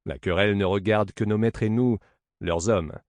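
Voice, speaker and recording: A man's voice: fairly low pitch, normal speed, a little mellow, dry recording, lightly acted. A man playing a sly, sceptical servant in a stage play, teasing with dry sarcasm. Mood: sarcastic teasing. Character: Grégoire, mocking, sly, sceptical male servant.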